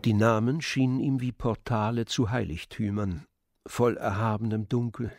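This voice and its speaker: A man's voice: lowish voice, steady tone, normal speed, a little mellow, dry recording, studio quality, soft background music. A man reading literary narration as the storyteller of a radio play.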